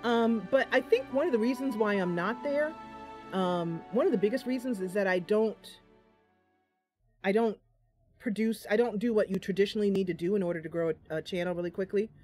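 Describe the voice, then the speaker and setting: A woman streams a video game with music playing behind her. She talks in a mid-pitched voice, casual and playful, moving between chatty asides and role-play narration. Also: brisk, darkish tone.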